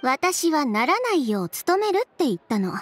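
A woman's voice: high-pitched, big pitch swings, normal speed, dry recording, soft background music. An actress playing a poised, proud young woman in a video game, speaking with cool, haughty sarcasm. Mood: composed, justifying. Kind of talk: Japanese game voice acting.

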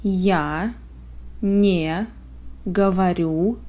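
A woman with a Russian accent teaching phrases, saying them word by word with careful pauses for learners to repeat. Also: lowish voice, warm tone. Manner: word-by-word, carefully spaced pronunciation. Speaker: language teacher. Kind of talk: slow phrase drills for learners.

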